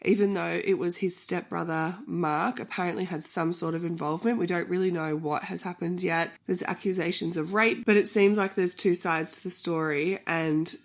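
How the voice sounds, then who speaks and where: A woman's voice: lowish voice, fairly even intonation, normal speed, few pauses. A woman book vlogger with a cold, sounding nasal, giving a chatty check-in on her reading.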